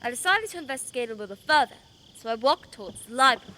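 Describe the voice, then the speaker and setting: A woman playing a cool-headed, brisk female detective in a radio play. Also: fairly high pitch, animated, bright, forceful tone, slight background noise. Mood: composed, recounting. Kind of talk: radio play acting and narration.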